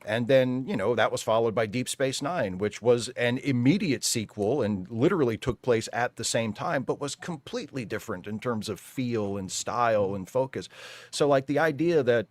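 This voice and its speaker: A man's voice: medium pitch, normal speed, darkish tone, dry recording. A man giving opinions in a casual panel discussion, emphatic and often rephrasing as he argues. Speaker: panel guest on a live fan show.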